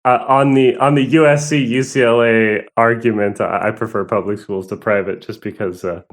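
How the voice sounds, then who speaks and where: A man's voice: on the low side, steady tone, moderate pace. A young man from Southern California, a guest musician telling his story in a rambling, hesitant way. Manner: rambling, hesitant, with many fillers and laughs. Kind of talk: casual interview.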